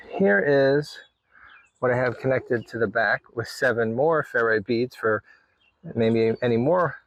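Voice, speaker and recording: A man hosting a casual hobby walkthrough, explaining things in a halting way with pauses mid-sentence. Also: medium pitch, gravelly, no room sound, low-quality recording. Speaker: hobbyist video host. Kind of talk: casual hands-on walkthrough to camera. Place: in and around a parked vehicle.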